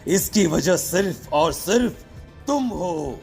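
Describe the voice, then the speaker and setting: A man's voice: sits high, animated, slow, creaky, a little mellow, soft background music, exaggerated voice. A man voicing a stern, accusing holy man who banishes spirits in a dramatized horror story. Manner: solemn, commanding.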